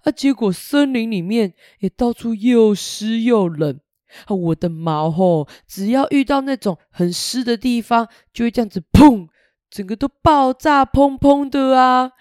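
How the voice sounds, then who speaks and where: A woman doing a sheepish gentle storybook wolf. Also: on the high side, no echo, studio quality, close to an everyday voice. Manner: slow, sheepish and plaintive. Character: mild-mannered male big bad wolf.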